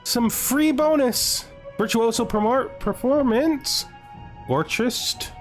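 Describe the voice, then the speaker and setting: A man's voice: very high voice, takes it slowly. Rambling man doing game commentary over music. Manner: rambling, thinking aloud, often asking himself questions. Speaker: gaming video host. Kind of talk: casual let's-play commentary on a strategy game. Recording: background music under the voice.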